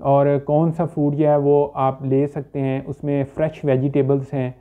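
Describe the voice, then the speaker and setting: Halting man, phone-like sound. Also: medium pitch, flat delivery. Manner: halting, explanatory, with repeated check phrases and English terms mixed in. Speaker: homeopathic doctor presenting a health video. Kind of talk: informal medical explainer to camera. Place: small furnished indoor room. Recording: dull, limited-bandwidth phone-like sound.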